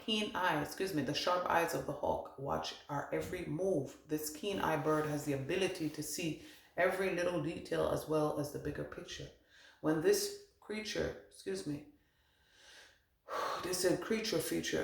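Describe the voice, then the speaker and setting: A man's voice: a little high, gravelly. A man working as a medium, giving a meandering channeled card reading and relaying what spirits tell him. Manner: meandering, thinks aloud, relays spirit messages. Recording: roomy echo.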